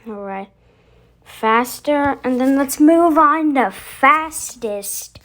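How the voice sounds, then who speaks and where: Casual female channel host, higher register, unhurried delivery, introducing voice effects. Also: expressive, fairly bright tone. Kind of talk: casual demo of voice effects to camera.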